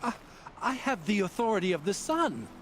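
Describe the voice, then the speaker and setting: An actor playing a sanctimonious, stubborn old sun priest in a video game, preaching with pompous solemnity. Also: male voice, high-pitched, big pitch swings, moderate pace, background noise. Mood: indignant.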